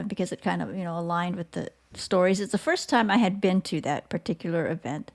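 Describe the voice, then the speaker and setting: Low, creaky-voiced woman podcast host. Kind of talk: conversational interview.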